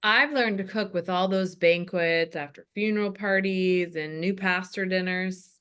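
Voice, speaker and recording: A woman's voice: fairly low pitch, fairly even intonation, measured pace, fairly bright tone, smooth. A woman playing a chatty, good-humored church cook, telling comic anecdotes at a table read. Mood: joking. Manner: comic storytelling. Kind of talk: comedy script table read.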